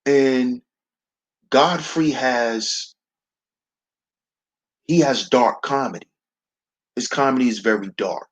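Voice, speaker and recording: A man with a baritone voice hosting a slow, preachy commentary monologue, calling his listeners brothers. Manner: preachy, addresses listeners as brothers, repeats "see". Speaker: commentary channel host.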